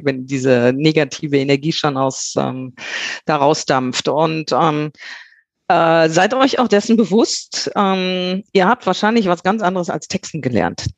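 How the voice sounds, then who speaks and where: A man's voice: fairly high pitch, no room sound. A man talking as a guest expert on copywriting, giving blunt, punchy advice to listeners with frequent filler sounds.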